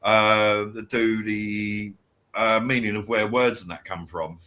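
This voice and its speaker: A man's voice: lowish voice, fairly even intonation, slow, pushed and bright. A man hosting a casual podcast chat, talking hesitantly with restarts. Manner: hesitant, restarts, many hums.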